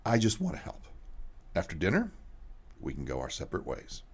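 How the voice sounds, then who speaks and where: A man's voice: low voice, wildly swooping pitch, brisk, slightly rough, exaggerated voice. An actor playing a troubled, outwardly kind male vigilante, speaking earnestly in a radio mystery drama. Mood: reassuring.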